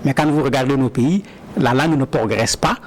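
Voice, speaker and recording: A man's voice: medium pitch, fairly quick, darkish tone, slight background noise. A man answering interview questions earnestly, often repeating words as he starts a sentence. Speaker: interview guest.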